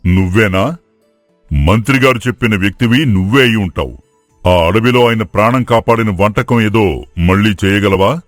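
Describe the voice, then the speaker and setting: A man's voice: lowish voice, moderate pace, no echo, soft background music, close to an everyday voice. A man playing a commanding royal envoy who speaks with grand authority in a moral story. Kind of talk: acted moral story dialogue.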